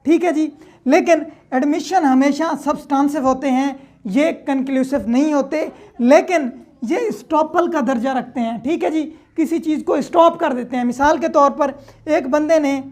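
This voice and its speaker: A woman's voice: sits high, moderate pace, darkish tone. A woman with a Pakistani accent explaining like a lecturer, informally and didactically, repeating points and checking in as she goes. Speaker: law lecturer.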